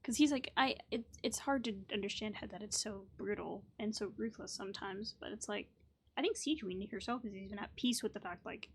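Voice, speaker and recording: A woman's voice: medium pitch, fairly quick, a little hiss or hum. Casual woman commenting on a game. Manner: informal, chatty reactions. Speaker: gamer, video host.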